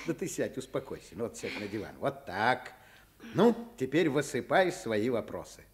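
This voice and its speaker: An actor playing a kind, tired doctor father in a radio play, gently playful as with a small child. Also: mid-range voice, big pitch swings, moderate pace, close to an everyday voice. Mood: amused, tender.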